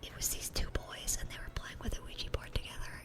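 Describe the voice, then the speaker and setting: A woman's voice: quick pace, close to an everyday voice. A woman voicing a sweet, dorky demon girl in a whispered ASMR roleplay, rambling and stammering in an eager, awkward way. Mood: confiding, animated.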